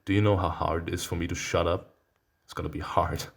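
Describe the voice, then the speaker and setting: A man playing through a visual novel as a streamer, excitable and theatrical, reacting with real emotional investment. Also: low voice, a little fast, vocal fry, slightly rough. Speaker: let's play streamer. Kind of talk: visual novel playthrough, reading aloud and reacting.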